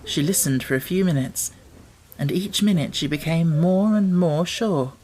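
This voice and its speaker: A man reading an audiobook as narrator, telling the story in a measured, expressive way. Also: a little high, rough recording.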